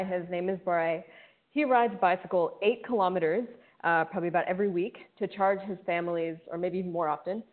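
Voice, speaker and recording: Woman speaking earnestly over a tinny call. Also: lowish voice. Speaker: firmware engineer presenter. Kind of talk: webinar presentation. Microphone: video call.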